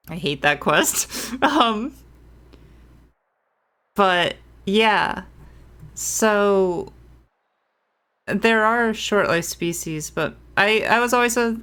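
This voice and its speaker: A woman's voice: fairly low pitch, fairly bright tone, clean tone. A woman game streamer giving casual playthrough commentary, thinking aloud in a hesitant, rambling, self-correcting way.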